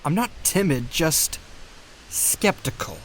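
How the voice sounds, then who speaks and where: A man plays a young male animal in an animated drama, a doubting nephew with a high voice. His pitch moves around a lot as he questions everything in a wary, sardonic way. There is faint noise in the background. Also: takes it slowly, poor audio. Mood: skeptical. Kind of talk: dramatic fandub dialogue.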